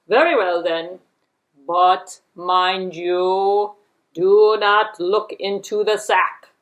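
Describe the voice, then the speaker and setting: A woman voicing a courteous but firm male fox trickster in a puppet show, with formal, emphatic speech and drawn-out orders. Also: medium pitch, steady tone, dull audio. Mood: polite, firm.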